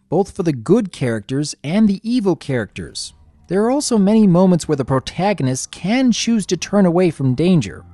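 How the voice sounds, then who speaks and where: A man giving scripted review commentary with formal, measured wording. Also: on the high side, dark tone, no echo. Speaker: guest reviewer.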